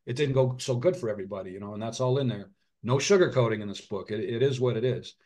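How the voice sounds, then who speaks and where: Earnest middle-aged man, halting and heartfelt. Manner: earnest, halting, frequent restarts and fillers; drops to a quiet, low voice at heavy moments. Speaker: author and physician. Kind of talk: reflective interview conversation.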